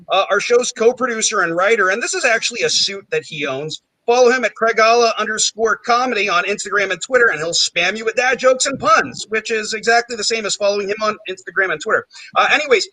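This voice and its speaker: A high, bright man's voice runs a comedy game show with jokey, self-mocking patter, tossing off asides and "um"s as he goes. The sound is close and dry, with no room around it. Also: no room sound, poor audio. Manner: quick, jokey, self-mocking banter with many fillers. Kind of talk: hosting a live comedy game show stream.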